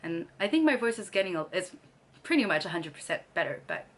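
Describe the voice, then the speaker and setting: A woman's voice: on the low side, big pitch swings, quick pace. Chatty young woman, slightly scratchy throat. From Canada. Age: young adult. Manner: chatty, laughs often between sentences. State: slightly scratchy throat after losing her voice. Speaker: vlogger. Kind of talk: casual chat to camera.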